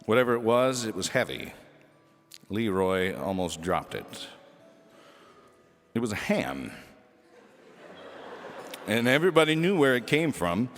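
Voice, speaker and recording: A man narrates a funny children's story with a very lively, swooping delivery and well-placed dramatic pauses. His voice rings in an echoey hall, with music behind him. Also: fairly low pitch. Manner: animated, dramatic pauses and emphasis. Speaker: storyteller reading a children's book aloud. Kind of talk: expressive scripted narration of a humorous story.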